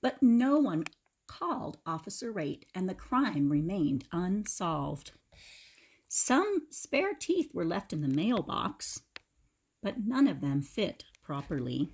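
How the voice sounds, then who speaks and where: A woman reads a children's picture book aloud with dramatic expression. Her voice is husky and swings widely in pitch, and she slips into explanatory asides for young listeners. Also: big pitch swings, takes it slowly, a little mellow. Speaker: teacher reading aloud to children.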